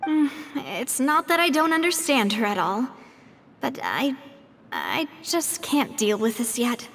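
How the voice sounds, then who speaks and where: An actress playing a poised young woman leader in a video game, wry and determined, with a measured delivery. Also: high-pitched, sing-song, fairly bright tone, in a large hall, exaggerated voice. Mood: grieving, guarded.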